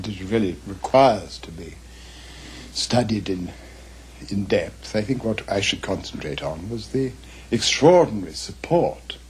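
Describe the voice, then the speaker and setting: Low-voiced older man, measured and formal. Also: darkish tone, low-quality recording. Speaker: author's son, interviewee. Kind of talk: reflective interview. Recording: faint background noise.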